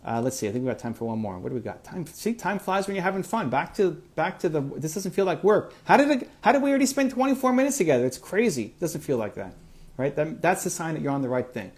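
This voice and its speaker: A man in his forties hosting a motivational livestream, reading out viewer questions and answering them in an energetic, encouraging, chatty way. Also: a little high, fast talker. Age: 40s. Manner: energetic, encouraging, conversational with fillers. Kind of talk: live Q&A answering viewer comments.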